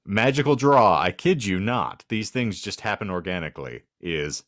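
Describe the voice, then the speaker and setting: A man's voice: fairly low pitch, animated, normal speed, a bit bright, no room sound, studio quality. A man hosting a casual comedy podcast, talking in a wry, wordy way with florid vocabulary. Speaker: podcast host.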